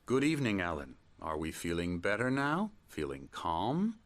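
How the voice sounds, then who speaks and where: An actor playing a smooth, condescending psychiatrist in a video game, speaking in a measured, formally polite, controlling way. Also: male voice, low voice, huge pitch swings, fairly bright tone, heavily acted. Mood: condescending, soothing. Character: smooth condescending male psychiatrist running a clinic, quietly controlling. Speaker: doctor. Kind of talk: video game dramatic acting.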